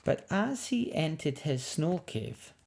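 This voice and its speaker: A woman reading a picture book aloud to young children in an expressive, dramatic way. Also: sits low, takes it slowly, creaky. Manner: expressive, dramatic, with emphatic sound words.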